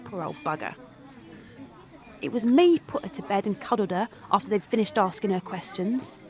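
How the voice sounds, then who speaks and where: A woman's voice: medium pitch, animated, normal speed, a little mellow, some chatter behind, lightly acted. A woman playing a brash, tough young woman who narrates, blunt and coarse-tongued. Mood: bitter. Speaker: narrator and character. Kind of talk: radio drama acting and narration.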